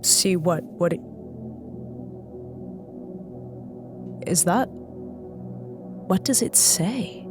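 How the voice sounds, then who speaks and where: An actress playing a bitter, commanding spaceship captain in an audio drama, speaking tersely with sardonic distrust. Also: female voice, fairly low pitch, animated, extremely slow. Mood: wary, puzzled. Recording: music under the voice. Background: dramatic music.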